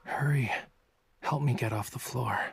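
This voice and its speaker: A man's voice: mid-range voice, unhurried, creaky, soft background music. A man voicing a languid, playful young artist in an English-dubbed romance game, with a nonchalant, coy way of talking. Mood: sleepy, demanding. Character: languid, teasing young male artist with a secretive streak.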